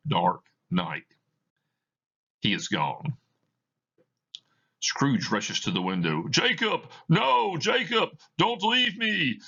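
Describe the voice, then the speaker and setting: A man's voice: low voice, bright, forceful tone, highs cut off. A man reading a play aloud, giving scripted narration of the stage directions. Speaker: reader of a play.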